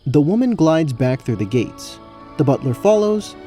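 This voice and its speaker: A man's voice: on the high side, very animated, moderate pace, dark tone. A man narrating a tabletop fantasy game as the game master, in a storytelling style. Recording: faint music under the voice. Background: background music.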